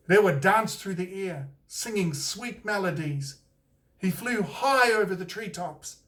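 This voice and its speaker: A man with a New Zealand accent reads a picture book aloud with an unhurried, expressive delivery. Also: high voice, distant mic. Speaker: author and storyteller. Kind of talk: scripted picture-book narration.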